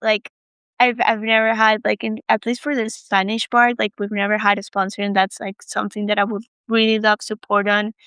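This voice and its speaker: A woman's voice: mid-range voice, little pitch movement, brisk, a bit bright, clean tone, dry recording. A woman from Argentina co-hosting a podcast, speaking casually and hesitantly with many ums. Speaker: podcast co-host.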